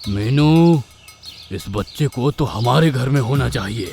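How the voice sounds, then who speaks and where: A man's voice: mid-range voice, expressive, unhurried, creaky, darkish tone, faint music behind. A man voicing a sly, scheming father bird in a cartoon story, with emphatic delivery.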